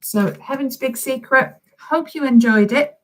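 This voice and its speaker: A woman's voice: high voice, measured pace. A woman reading a children's story expressively, speaking directly and encouragingly to young listeners.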